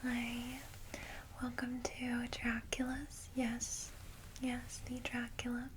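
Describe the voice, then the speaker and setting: Slow, whispering woman in ASMR roleplay. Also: on the high side, monotone. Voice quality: soft breathy whisper. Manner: gentle, reassuring, unhurried. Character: kindly vampire secretary welcoming a newly turned vampire. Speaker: ASMR roleplay creator playing a guide to new vampires. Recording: close whisper with clear background noise.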